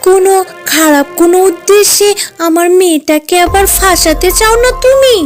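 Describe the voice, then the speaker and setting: Woman as a high-pitched stern cartoon mother. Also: animated, normal speed, faint music behind. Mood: suspicious, scolding. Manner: scolding, questioning. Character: stern, suspicious mother of a little girl. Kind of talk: cartoon story voice acting.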